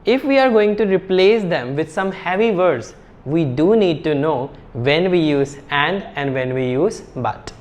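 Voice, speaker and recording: A man's voice: fairly high pitch, expressive, a little slow. Indian English male IELTS teacher. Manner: explains step by step, repeating key words. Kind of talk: whiteboard grammar lesson.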